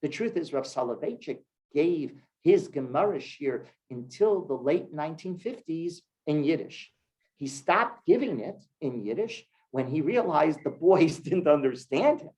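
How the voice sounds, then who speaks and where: A man from Cleveland, a rabbi teaching a congregation, who stresses key words and then drops his voice low. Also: lively intonation, unhurried, a little mellow, low-quality recording. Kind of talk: Torah class sermon. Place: synagogue hall with congregation.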